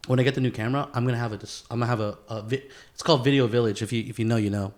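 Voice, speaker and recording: A man's voice: mid-range voice, fairly even intonation, very fast. A man hosting a casual podcast chat in a garage, speaking informally with slang and swearing. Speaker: podcast host.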